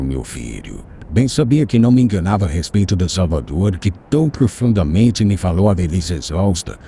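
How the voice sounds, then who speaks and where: A venerable old teacher, solemn and tender, as a man voices him. His voice sits very low and dark, yet it rises and falls expressively as he counsels in this audiobook story. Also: slight background noise. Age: older. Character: venerable elderly male teacher, gentle and wise. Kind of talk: audiobook character reading.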